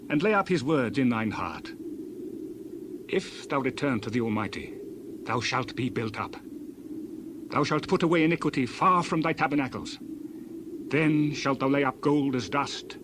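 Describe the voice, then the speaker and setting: A man's voice: medium pitch, background noise, natural voice. A man playing a stern, admonishing counselor in dramatized scripture, preaching in a declamatory way. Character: stern, admonishing friend of Job, a righteous counselor.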